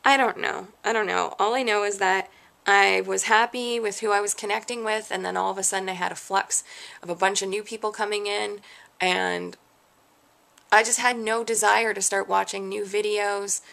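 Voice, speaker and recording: Gravelly-voiced woman venting to camera. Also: mid-range voice, steady tone, normal speed, a bit bright. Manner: frustrated, rambling, self-questioning. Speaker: vlogger. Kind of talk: candid rant to camera.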